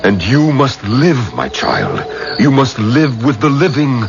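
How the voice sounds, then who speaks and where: An actor playing a protective old religious teacher in a 1970s radio drama, grave and emphatic. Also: male voice, medium pitch, sing-song, takes it slowly, gravelly, over music, exaggerated voice. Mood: firm, urgent. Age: older. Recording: muffled old radio broadcast.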